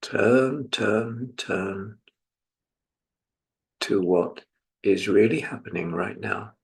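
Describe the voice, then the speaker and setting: A British man in his seventies from England leading a guided meditation as a teacher, speaking in a reflective, gently instructive manner with pauses. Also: on the low side, creaky. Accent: British English. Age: 70s.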